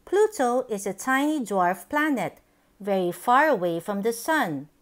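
A woman with a fairly high voice reads a children's picture book aloud. Her delivery is very slow and deliberate, with lively, swooping intonation meant for toddlers. Each phrase is set out simply and expressively. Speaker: children's book reader, channel host.

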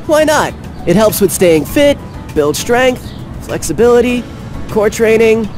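A man's voice: high voice, very animated, measured pace, a little mellow, soft background music. A man playing an easygoing, cheeky young athletic student in a voiced visual novel game. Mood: defensive, casual.

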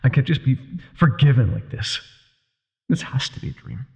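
A man playing an older ex-mafia boyfriend, wry, tender and self-doubting, with a husky, breathy voice in an intimate roleplay audio. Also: mid-range voice, lively intonation, moderate pace, full character voice. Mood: overwhelmed, anxious.

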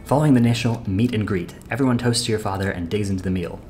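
Deep-voiced young man, let's play host, reads dialogue plainly, casual commentary, game music underneath. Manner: reads character lines plainly, then chats casually with pauses and fillers.

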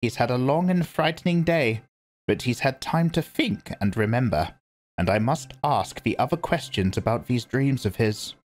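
A man reading aloud and voicing a stern, commanding old woman, a religious elder, in a sharp and lecturing manner. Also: mid-range voice, lively intonation, normal speed, voice pushed up, natural voice.

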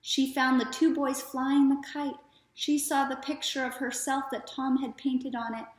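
A woman in her thirties reading a children's story aloud in a deliberate, expressive way. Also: high-pitched. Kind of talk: children's read-aloud narration. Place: small living room, indoors. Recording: echoey living room.

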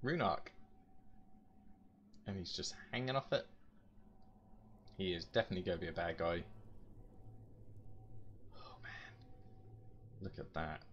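A man's voice: low-pitched, animated, measured pace, pauses often, muffled recording, slight background noise. A British man giving casual gameplay commentary, talking to himself with mild exclamations. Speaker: gaming channel host. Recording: loud game music behind voice. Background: loud video game music.

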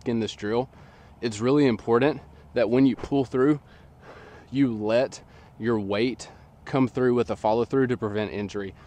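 A young man in his twenties, slightly nasal from a sinus infection, explaining a sports technique in a relaxed, chatty way outdoors, recorded by a camera at a distance in an open field. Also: medium pitch, moderate pace. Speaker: disc golf coach. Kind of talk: casual instructional talk. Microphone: camera on a tripod at a distance.

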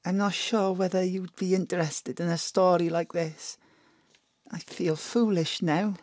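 A woman's voice: on the low side, steady tone, measured pace, a little mellow, no echo. A woman playing a polite, hesitant, old-fashioned mother in her thirties, dignified in grief, in an audio drama. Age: 30s.

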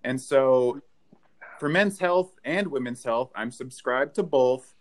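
A man in his thirties doing a stand-up set with deadpan one-liners, filmed on a webcam at home. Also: medium pitch, slow. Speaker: stand-up comedian. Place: living room at home. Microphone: webcam, video call framing.

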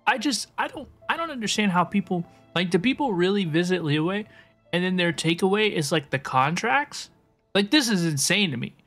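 A woman's voice: fairly low pitch, animated, normal speed, faint music behind. A woman live-streaming a video game, chatting casually and repeating words for emphasis.

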